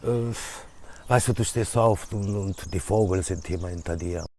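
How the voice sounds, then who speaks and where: Italian man, middle-aged, low voice, broken German, relaxed interview answers. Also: a little hiss or hum. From Italy. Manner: halting, searching for words in German. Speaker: DJ and music producer.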